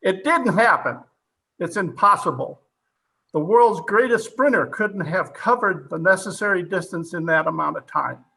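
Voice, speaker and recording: An actor playing a hard-nosed, sarcastic police captain in an old-time radio drama, interrogating forcefully and full of disbelief. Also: male voice, high voice, animated, moderate pace, creaky, breathy edge, full character voice, low-quality recording. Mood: accusing.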